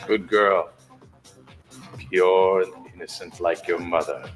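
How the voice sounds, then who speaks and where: An actor playing a cold, smug, controlling villain in a video game, speaking in a measured, condescending way. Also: male voice, low-pitched, steady tone. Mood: cold condescension. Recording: game audio with music behind.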